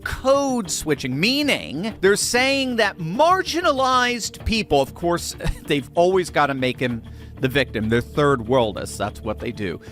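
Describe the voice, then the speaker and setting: A man's voice: on the high side, sing-song, unhurried, a bit bright. A man hosting political commentary, sarcastic and mocking, chuckling between remarks. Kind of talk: commentary on clips.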